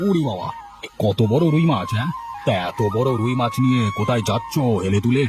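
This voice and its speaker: A man's voice: mid-range voice, lively intonation, a little fast, over music, lightly acted. Man voicing a hearty, shrewd village headman. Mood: jovial, appraising. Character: shrewd, jovial male village headman haggling for fish. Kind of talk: cartoon story dialogue.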